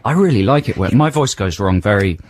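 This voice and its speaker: Playful, lively-voiced radio co-host. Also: male voice, fairly low pitch, fairly quick. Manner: playful, teasing, dry asides. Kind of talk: casual chat. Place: radio studio.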